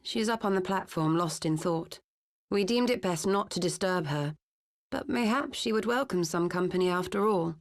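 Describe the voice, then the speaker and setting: Low-voiced, composed fantasy heroine. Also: female voice, lively intonation. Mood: gently concerned. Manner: measured, formal, archaic phrasing. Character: composed, discreet, caring woman of a fantasy order. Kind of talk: dramatic video game cutscene acting.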